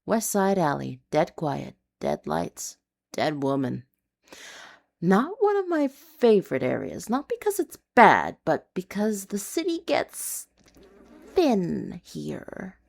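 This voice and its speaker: A woman reading a noir urban fantasy story in a hushed, dramatic, deliberate way. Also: fairly low pitch, huge pitch swings, gravelly. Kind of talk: scripted noir confessional storytelling.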